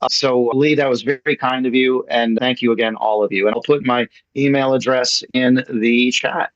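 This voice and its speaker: Guy on a video call, bit high voice, gushing and repeating himself, dry slightly dull audio. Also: steady tone, normal speed, no echo. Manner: effusive, rambling, repeats himself for emphasis. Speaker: playwright and guest speaker. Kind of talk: casual online talk answering questions. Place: online video meeting.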